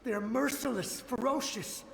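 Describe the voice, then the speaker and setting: High-voiced, echoing desperate ghost soldier. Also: male voice, normal speed, vocal fry, a bit bright, heavily acted. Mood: alarmed warning. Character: desperate ghostly soldier, loyal young man, weary but resolute. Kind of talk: video game voice acting. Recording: echoing ghostly reverb, faint noise.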